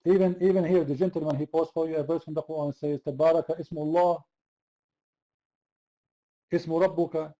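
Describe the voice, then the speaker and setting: A high-pitched man hosting a heated online debate. His voice is very dark and mellow despite the pitch. He hammers the same question over and over. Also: fairly even intonation, brisk, long pauses, rough recording. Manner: insistent, repeats the same question. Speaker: debate host.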